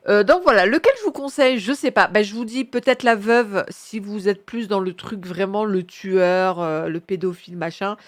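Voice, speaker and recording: Casting: woman, mid-pitched, sniffly with a cold, chatty and enthusiastic book reviewer who rambles in slang. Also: normal speed, few pauses. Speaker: book reviewer presenting her reading picks. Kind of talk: casual chatty book recommendation monologue.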